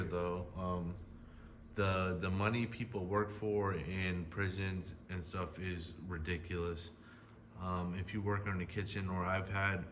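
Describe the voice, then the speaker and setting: A man commenting casually on a video he is reacting to, talking in a hesitant, halting way with many fillers and restarts. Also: low voice, a bit bright, slight background noise. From United States.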